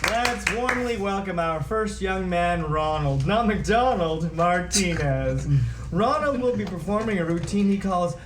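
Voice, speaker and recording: Man acting high-voiced folksy radio emcee. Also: lively intonation, measured pace. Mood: showy, upbeat. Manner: showy, hammy announcer patter. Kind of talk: announcing at a comedic script table read. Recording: roomy echo, noticeable background noise.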